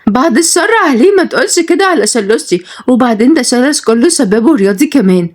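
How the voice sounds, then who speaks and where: A woman's voice: fairly high pitch, expressive, fast talker, a little mellow, voice pushed up. A woman voicing a jealous, lovestruck Egyptian woman in a story, emotional and reproachful. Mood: protective.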